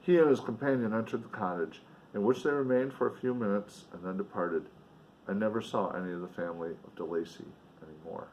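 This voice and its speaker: A man's voice: medium pitch, a little mellow, dull audio. A man reading a novel aloud in a dramatic, emphatic way, with casual offhand commentary along the way. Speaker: reader and commentator.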